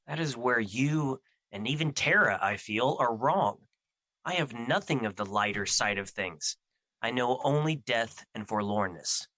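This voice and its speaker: A man's voice: medium pitch, expressive, measured pace, sharp tone, dry recording. A man playing a gloomy, self-doubting embodiment of fear in an audio drama, speaking with formal, solemn, theatrical phrasing.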